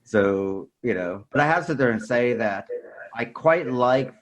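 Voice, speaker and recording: A man's voice: medium pitch, expressive, unhurried, a little hiss or hum. A man talking casually and ramblingly as a podcast host in a film discussion, often tacking on filler phrases. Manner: rambling, repeats "you know" and "sort of thing".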